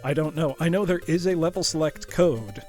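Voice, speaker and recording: Casting: male game streamer, slightly higher voice, congested and tired, chatty with a teacher-like jokey manner, game music faint behind. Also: a little fast, a little mellow. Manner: chatty, jokey, calls viewers "class". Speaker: streamer playing retro games. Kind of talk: casual live-stream chat.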